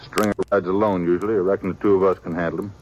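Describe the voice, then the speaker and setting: A man's voice: sits low, fairly even intonation, rapid-fire, darkish tone, highs cut off, natural voice. A man playing a terse frontier lawman. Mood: matter-of-fact. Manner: terse, clipped western talk. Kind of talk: 1950s radio western drama. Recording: noisy old radio recording.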